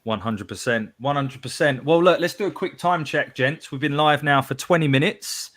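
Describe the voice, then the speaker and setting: A man's voice: fairly high pitch, normal speed, fairly bright tone. A man hosting a live football podcast, reading out listener messages with a laugh. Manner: upbeat, reads out chat messages with laughs. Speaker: podcast host.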